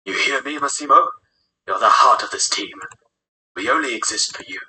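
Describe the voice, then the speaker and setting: A man performs a spry old gang leader in an audiobook, protective and stern. The delivery is bright and forceful, earnest and exasperated. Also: medium pitch, animated, moderate pace, slightly rough, full character voice, poor audio. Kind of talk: audiobook character voice.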